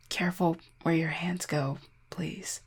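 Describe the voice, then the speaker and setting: A woman playing an injured, proud and guarded cupid in an intimate audio roleplay, speaking formally and wryly in a husky, pained voice with weary sighs. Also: deep voice, heavily acted. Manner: formal, wry wording with weary sighs and hesitant pauses. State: in pain, strained.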